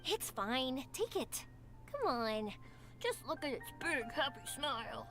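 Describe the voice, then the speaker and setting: Here is an upbeat young woman in a game who can't stop smiling and talking. Her voice sits high and swings widely up and down. Soft game music plays faintly behind her. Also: very animated, slow pace, heavily acted. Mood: cheerful. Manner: bright, encouraging, sing-song. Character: cheerful upbeat young woman, warm and talkative. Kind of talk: game voice acting.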